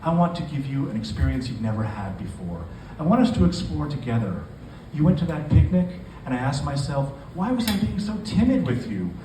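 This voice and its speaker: A man's voice: on the high side, very animated, a little fast, hardly any pauses. A man reading the lines of an eager, coaxing young woman lover from a story. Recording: echoey, noisy venue, distant microphone.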